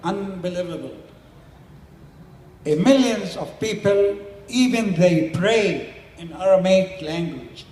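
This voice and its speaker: A man's voice: sits high, lively intonation, slow pace, darkish tone, reverberant, in a large hall, a little hiss or hum. An older man whose first language is Syriac, speaking plain non-native English in short phrases as an interviewee and community elder. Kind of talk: explaining to a small audience.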